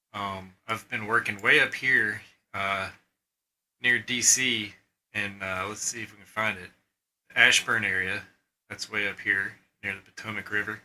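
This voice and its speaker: A man with a very bright, forward voice talks through an informal presentation. His pitch sits a little low, and he feels his way through each thought with some hesitation. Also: rough recording. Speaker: amateur radio operator presenting recordings.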